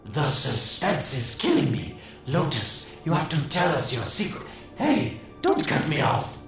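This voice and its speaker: An actor playing a sly, condescending schemer in a video game, sardonic and theatrical, heard as a transmission. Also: male voice, fairly high pitch, wildly swooping pitch, measured pace, heavily acted. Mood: mocking impatience. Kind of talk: video game character acting. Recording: echoey distant transmission, loud music.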